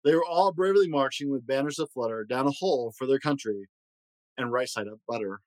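A man reading a rhyming children's story aloud in an expressive way. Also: medium pitch, fairly quick, no room sound, low-quality recording. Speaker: storybook reader.

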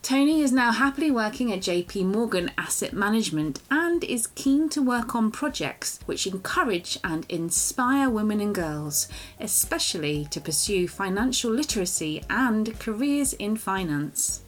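A woman hosting a podcast, briskly reading a formal scripted introduction of her guest. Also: medium pitch, lively intonation, poor audio. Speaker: podcast host.

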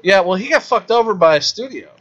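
Bright-voiced swearing man telling stories. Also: high voice, fast, dull audio. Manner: animated storytelling, heavy swearing. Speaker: filmmaker guest. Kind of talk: casual podcast chat.

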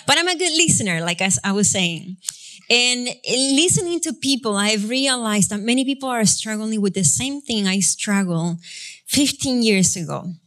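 A woman's voice: a little high, animated, moderate pace, a bit bright. A young adult woman pastor from Argentina with an Argentine Spanish accent, Spanish her first language, leading prayer and then preaching in a chatty, self-deprecating way with frequent laughs. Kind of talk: sermon with congregational prayer. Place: church sanctuary.

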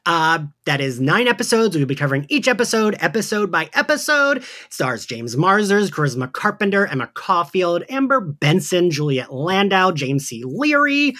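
A man's voice: high voice, sing-song, moderate pace, sharp tone. A man hosting a podcast in a casual, chatty style. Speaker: podcast host.

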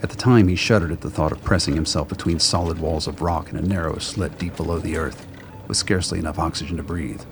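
Low creaky guy narrating a story for an audio drama, bit of background hiss. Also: steady tone, quick pace.

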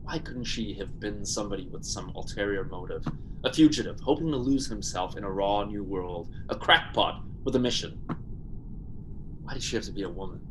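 A man acting in a radio play as a weary, conflicted spaceship pilot, gruff but soft-hearted, with a hard-boiled delivery. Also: on the low side, moderate pace, background noise, natural voice. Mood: brooding narration. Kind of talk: radio play acting with first-person narration.

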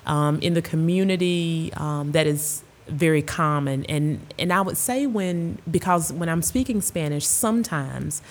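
A woman with a notably low, deep voice talks through her experiences at an unhurried pace. She is a middle-aged American academic, earnest and measured, with the odd soft laugh. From United States. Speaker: Spanish professor and researcher. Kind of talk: panel discussion, thoughtful storytelling.

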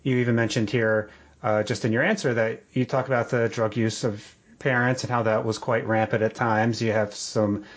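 A man interviewing an author, setting up his questions in a measured, appreciative way with some halting restarts. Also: mid-range voice, level tone, dull audio. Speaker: podcast interviewer.

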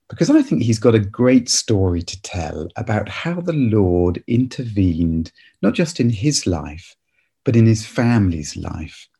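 A man hosts a church podcast interview with a low, husky voice. His tone is mellow and relaxed, and he asks his questions in an earnest, encouraging way.

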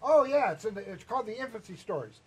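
A man's voice: high-pitched, lively intonation, fast talker, poor audio. A man from Chicago with a Chicago accent, preaching a sermon as pastor in a conversational, teasing way and asking the congregation questions. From Chicago, Midwest US. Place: church sanctuary.

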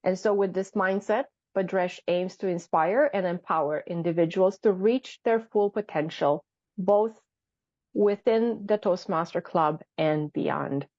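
A woman hosting a podcast interview whose first language is not English, encouraging her guest and pausing with "um.". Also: lowish voice, darkish tone, dry recording, dull audio. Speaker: podcast host.

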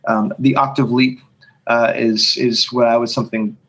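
A man's voice: medium pitch, level tone, normal speed, a bit bright, rough recording. A man who writes and leads worship songs, talking in a podcast conversation, thinking aloud with frequent restarts and humming bits of melody. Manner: thinks aloud with many restarts, sings snippets to illustrate. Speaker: worship songwriter and leader.

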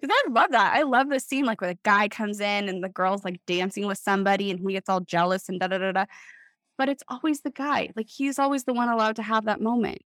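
A woman author in casual podcast chat, telling things in an animated way with lots of "like" and acted-out quotes. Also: medium pitch, fast, no room sound, studio quality. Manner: animated storytelling, acts out imagined quotes, heavy use of "like". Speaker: author guest on a book podcast.

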